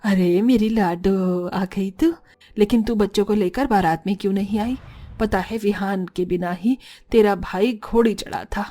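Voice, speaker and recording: A woman's voice: medium pitch, unhurried, mellow, natural voice. A woman voicing an older mother-in-law, authoritative and doting on her daughter, in a cartoon family drama.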